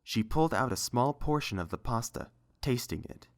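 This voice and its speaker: This is a storyteller reading scripted fiction as an audiobook. The delivery is measured, with a lively, varied melody in the pitch. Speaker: narrator and reader.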